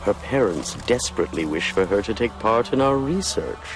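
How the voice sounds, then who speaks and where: A menacing, silky video game sorcerer villain. The voice is deep and unhurried, swooping theatrically through mock courtesy and condescension. Music plays clearly behind it. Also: male voice, lively intonation, low-quality recording. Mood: mocking, smug. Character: suave, menacing male villain, a scheming researcher-sorcerer. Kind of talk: dramatic video game acting.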